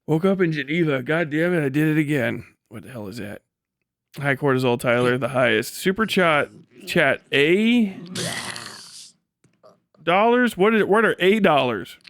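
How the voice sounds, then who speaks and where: Smooth-voiced, sweary man hosting a livestream. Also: a little high, lively intonation, moderate pace, pauses often, fairly bright tone, no room sound. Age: late 30s. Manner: sweary, rambling, self-deprecating. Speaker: livestream host. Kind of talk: casual livestream chat.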